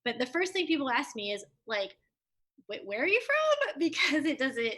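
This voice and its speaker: A woman from the United States, a language teacher, chatting as a guest in a casual interview, self-assured and quick to laugh. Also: sits high, very animated, fairly bright tone.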